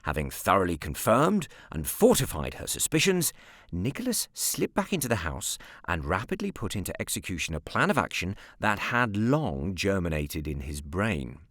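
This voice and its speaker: Low, very animated British male storyteller. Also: moderate pace, no echo, clean studio sound. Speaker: narrator, audiobook reader. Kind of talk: expressive scripted story narration.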